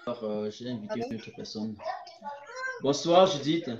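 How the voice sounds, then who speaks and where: A man's voice: mid-range voice, moderate pace, highs cut off, over a noisy crowd. A man from Cameroon, hosting a political live stream, emphatic and repeating his points straight to viewers. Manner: emphatic, repeats phrases, addresses viewers directly. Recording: roomy echo.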